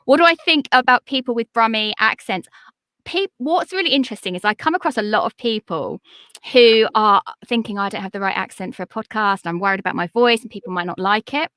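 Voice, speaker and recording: A woman's voice: brisk, a bit bright, dry recording. A woman in her forties from England, a podcast coach talking as an interview guest in an upbeat, encouraging way. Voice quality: high-pitched. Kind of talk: casual interview chat.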